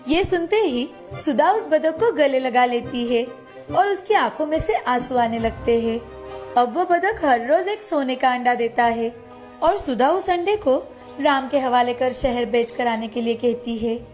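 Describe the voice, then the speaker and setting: A woman's voice: sits high, expressive, a little slow. A woman narrating a scripted children's moral story as a storyteller. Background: soft background music.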